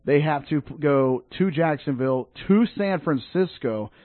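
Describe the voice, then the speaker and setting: A man hosts a local sports radio show, talking football and high school scores in an upbeat, fan-rallying way. His voice sits a little high. The sound is dry and narrow, like a phone line. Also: moderate pace, dry recording.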